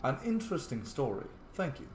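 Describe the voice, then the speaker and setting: A man's voice: mid-range voice, sing-song, a little fast, pitched above the performer's own voice, lightly acted. A man voicing a polite, slightly flirty, inquisitive male adventurer in a fantasy game roleplay. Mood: soft, reflective. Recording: echoey room with faint noise.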